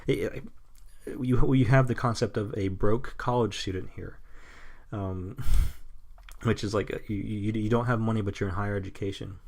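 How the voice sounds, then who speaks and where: A young man in his mid-twenties with a very low voice talks alone into a podcast mic. He rambles and restarts often, trails off into nervous chuckles, then drops blunt, cynical remarks with casual swearing. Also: fairly even intonation, gravelly, a little mellow. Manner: halting, full of restarts and nervous laughs. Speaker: podcast host. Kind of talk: rambling solo podcast monologue.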